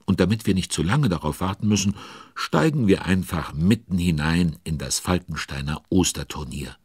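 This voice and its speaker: A man's voice: low voice, animated, normal speed, a little mellow, husky, no echo, studio quality. A man playing a chatty, nosy storyteller, narrating a children's audio drama and speaking directly to the listeners. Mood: storytelling. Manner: conversational, addresses the listeners.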